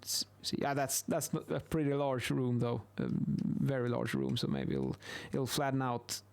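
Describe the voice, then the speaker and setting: A man in his thirties with a Swedish accent gives an informal explanation in a halting way, repeating words, close to a studio microphone in a small room. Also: mid-range voice, lively intonation, creaky. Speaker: video host, acoustics instructor. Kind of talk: informal explainer while sketching. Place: small indoor home office. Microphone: close boom-arm studio microphone.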